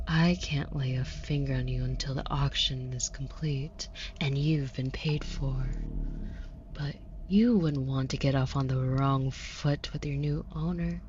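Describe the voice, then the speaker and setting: A woman playing a flirty, menacing demon in an audio roleplay, condescending and teasing, with a breathy voice that dips into whispers. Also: deep voice, darkish tone, highs cut off, a little hiss or hum. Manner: teasing, condescending, slow deliberate delivery dropping into whispers. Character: flirty, menacing female demon taunting a caged angel.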